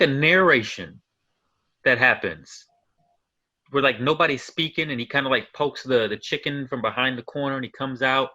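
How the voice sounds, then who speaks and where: A man's voice: mid-range voice, bright, forceful tone. A man co-hosting a casual movie review chat, easygoing and asking the group questions. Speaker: podcast co-host.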